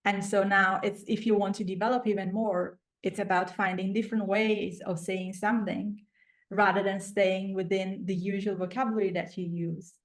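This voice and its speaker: A woman coach with an Italian accent talks in a conversational way to a student. Her pitch sits in the middle of the female range, and she is affirming and encouraging as she hosts. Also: flat delivery, moderate pace. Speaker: language coach hosting a student interview.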